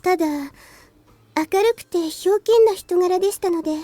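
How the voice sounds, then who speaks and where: A woman's voice: very high-pitched, rapid-fire, dark tone, dry recording. A woman playing a polite, sweet, teasing teenage schoolgirl in a Japanese visual novel. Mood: polite, earnest.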